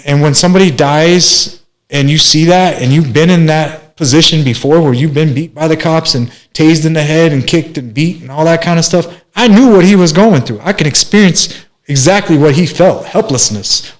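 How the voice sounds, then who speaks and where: A man in his forties from Minneapolis, telling his life story as an interview guest in a rambling way, with many fillers and restarts. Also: a little high. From Minneapolis, USA. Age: 40s. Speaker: guest, master barber.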